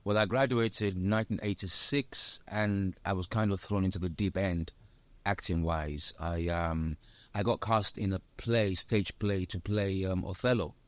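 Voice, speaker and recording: Older man, low dry voice. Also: unhurried, no room sound. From UK and Nigeria. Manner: animated storyteller, laughs often. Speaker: actor guest. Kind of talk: casual interview chat.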